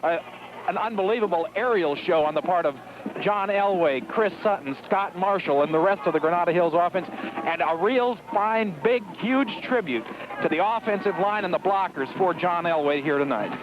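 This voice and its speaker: A man's voice: high-pitched, moderate pace. An American man giving color commentary and stats on a live football radio broadcast from an outdoor stadium, in a muffled, noisy 1970s recording.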